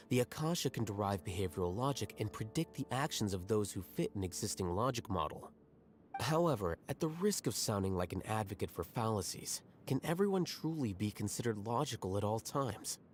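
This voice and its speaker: A man voicing a young scholar from a video game, calm and analytical. His voice sits low, and he lays out each point in measured, articulate steps, with music playing faintly behind him. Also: natural voice. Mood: analytical, probing. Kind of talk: scripted video game dialogue.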